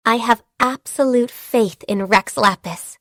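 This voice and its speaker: An actress playing a gentle, diligent, shy and youthful half-beast secretary in a video game, speaking politely and earnestly. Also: female voice, on the high side, unhurried, no room sound. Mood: earnest, formal. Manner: polite, earnest, hesitant when flustered. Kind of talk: video game character voice lines.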